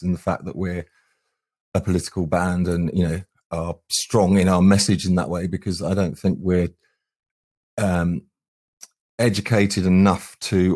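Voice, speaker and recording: A middle-aged man speaks in a very low voice. He is earnest and thoughtful, like a musician reflecting in an interview. The sound is dry, with little room around it. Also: mellow, dry recording. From England. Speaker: band singer and guitarist, interview guest.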